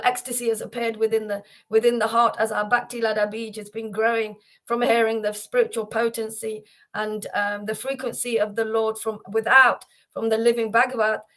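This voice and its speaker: A woman's voice: a little high, a bit bright. A woman hosting a devotional livestream, talking informally and repetitively to viewers, with many fillers and restarts, addressing them by name. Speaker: host of a devotional livestream gathering.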